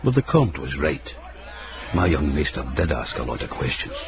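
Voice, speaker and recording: Very deep Scottish storyteller. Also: male voice, sing-song, moderate pace, heavily acted. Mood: wry, brooding. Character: watchful, wry Scottish manservant telling his own tale. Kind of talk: first-person narration in a radio drama. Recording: muffled radio broadcast, clear background noise.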